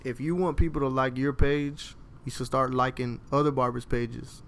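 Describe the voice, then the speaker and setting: A man's voice: medium pitch, fairly even intonation, moderate pace, vocal fry. A man in his thirties, a barber chatting casually and encouraging others, laid-back with stammering restarts. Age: 30s. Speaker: guest barber. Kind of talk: casual banter.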